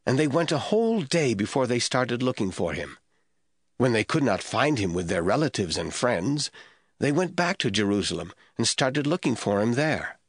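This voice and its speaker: A man giving measured, scripted Bible narration in a storytelling manner. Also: medium pitch, a bit bright, dry recording, clean studio sound.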